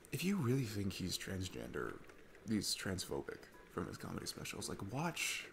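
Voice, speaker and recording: A man playing a sweet, chatty dad on a date in an ASMR roleplay, rambling enthusiastically with halting restarts. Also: sits low, creaky, background noise, full character voice. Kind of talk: ASMR audio roleplay, casual rambling talk.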